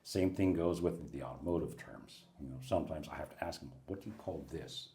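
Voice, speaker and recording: A middle-aged American man chatting as an interview guest, telling lively stories and acting out the people he quotes. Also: extremely low pitch, fairly quick, gravelly. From USA. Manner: animated storyteller, acts out quoted people, laughs often. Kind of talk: casual interview chat.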